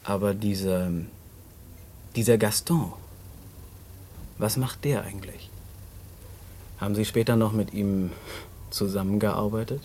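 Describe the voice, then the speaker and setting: Radio drama: male actor as earnest, decent cellmate, lowish voice, hesitant and reflective, faint background noise. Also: fairly even intonation, unhurried, long pauses, dark tone, close to an everyday voice. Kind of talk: naturalistic German radio play acting.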